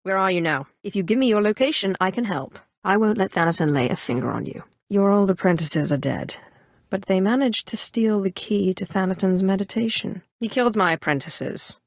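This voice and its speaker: A woman's voice: medium pitch, fairly quick, no room sound, studio quality, lightly acted. A woman voicing a ruthless, sardonic Sith sorceress in a video game, haughty, cool and ambitious. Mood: determined, businesslike. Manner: haughty, cool, dryly sarcastic. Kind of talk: scripted video game voice acting.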